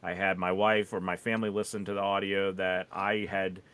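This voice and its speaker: A man's voice: deep voice, level tone, normal speed, a bit bright, smooth. A man chatting casually on a podcast as a teacher, rambling and often restarting his thoughts. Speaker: voiceover teacher.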